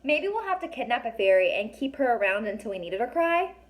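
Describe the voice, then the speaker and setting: A woman reading the lines of a sarcastic, worrying young boy in a storybook, with a deadpan grumble. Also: on the high side, fast talker.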